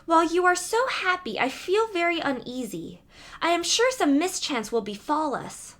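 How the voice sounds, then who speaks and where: A woman's voice: very high voice, sing-song, moderate pace, fairly bright tone, voice pushed up, exaggerated voice. A woman voicing a timid, fretful young princess in a fairy tale. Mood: uneasy. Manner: fretful, uneasy.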